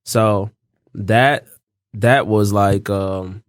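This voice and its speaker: A young man from Los Angeles talks in an unhurried, rambling way, often circling back and restarting his thoughts. His voice sits deep and low. The sound is dry and close, with no room around it. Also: fairly even intonation, no echo. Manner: laid-back, rambling, frequent fillers and restarts. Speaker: guest. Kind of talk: casual interview chat.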